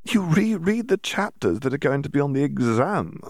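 Smug teenage boy played by a male reader, dismissive, self-assured, slightly raised pitch, storybook style. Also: big pitch swings, moderate pace, no room sound, clean studio sound. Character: smug, dismissive teenage schoolboy, older brother.

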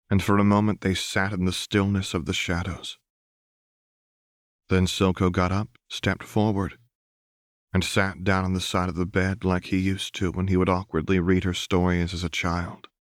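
Low voiced guy narrating a story, slow and subdued, pretty flat, dry close mic. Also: dry recording. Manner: measured, subdued. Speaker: audiobook narrator. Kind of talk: scripted fiction narration.

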